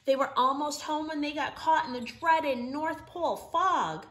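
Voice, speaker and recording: A middle-aged woman reading a children's story aloud in an expressive, emphatic storytelling manner. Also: high voice, a little slow. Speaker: storybook reader. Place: living room, indoors.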